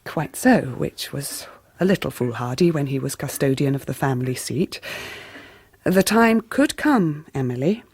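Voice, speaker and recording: A woman playing a middle-aged, stern and genteel Victorian mother in a radio drama, with a formal upper-class English accent and measured delivery. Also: deep voice, lively intonation, darkish tone, husky, no echo, studio quality, full character voice. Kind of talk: 1990s radio drama acting.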